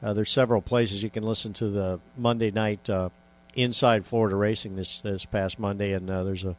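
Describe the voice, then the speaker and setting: A man's voice: on the low side, fairly even intonation, fairly quick, darkish tone. A man hosting a casual radio talk show, rambling with many fillers and restarts.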